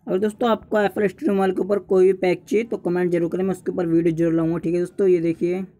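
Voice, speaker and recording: A man's voice: sits high, little pitch movement, rapid-fire, dark tone. A young man narrating a casual tutorial, repeatedly urging and addressing his viewers as friends. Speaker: tutorial YouTuber.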